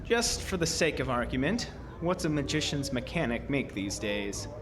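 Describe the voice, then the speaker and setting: An actor playing a blunt, skeptical, exasperated carnival boss in a horror audio drama. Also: male voice, mid-range voice, very animated, normal speed, crowd noise, close to an everyday voice. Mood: sardonic. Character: gruff, skeptical, harried male carnival boss. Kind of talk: horror audio drama acting with first-person narration.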